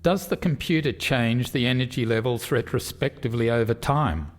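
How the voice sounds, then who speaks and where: A man's voice: mid-range voice, moderate pace, close to an everyday voice. A man playing an inquisitive alien colleague, putting polite questions in a radio play. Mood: curious, polite.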